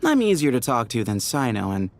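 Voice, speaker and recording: An actor playing a friendly, streetwise young desert heir in a video game, playful and persuasive. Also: male voice, medium pitch, wildly swooping pitch, brisk, gravelly.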